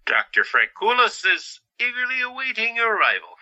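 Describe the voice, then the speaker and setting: An actor playing a stern, dismissive senior doctor who secretly cares, in an audio drama. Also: male voice, very high-pitched, huge pitch swings, sharp tone, highs cut off, full character voice. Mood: brisk, wry. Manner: stern, measured.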